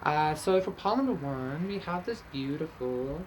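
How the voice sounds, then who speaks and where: A woman, an Afrikaans speaker, talks casually through a tarot reading in a somewhat low voice. She is chatty, with frequent "like" and "okay?" tags. A faint hiss sits under the recording. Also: animated, normal speed, darkish tone, rough recording. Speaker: tarot reader.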